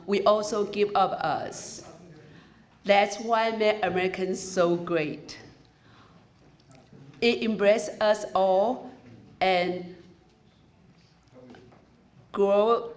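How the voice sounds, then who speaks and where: A woman whose first language is Chinese, speaking English with a Chinese accent as master of ceremonies, giving a formal speech in careful, halting phrases. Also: medium pitch.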